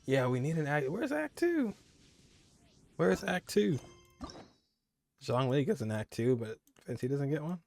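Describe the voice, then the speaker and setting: A man's voice: on the high side, big pitch swings, measured pace, creaky, pauses often, darkish tone. A man doing casual playthrough commentary as a gaming creator, reacting in an informal, rambling way. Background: faint music.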